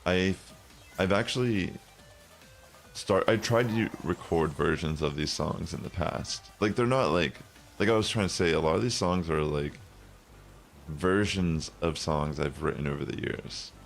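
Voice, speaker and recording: Very deep, gravelly-voiced young man. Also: unhurried. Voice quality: very low bassy voice. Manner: rambling, many fillers. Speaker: streamer. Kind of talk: casual chat while gaming. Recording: faint music behind.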